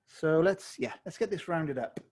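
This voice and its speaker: A man with a slightly hoarse voice, teaching watercolor live on a video call and thinking aloud as he paints. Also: on the high side, normal speed. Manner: relaxed, thinking aloud while painting, self-correcting. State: slightly hoarse, losing his voice. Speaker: watercolor tutor. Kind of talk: live online painting tutorial.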